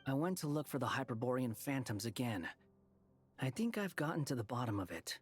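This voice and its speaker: A man voicing an aloof, cynical young wanderer in a video game, explaining in a measured, disdainful way. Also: mid-range voice, big pitch swings, faint music behind, lightly acted. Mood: grave, disdainful.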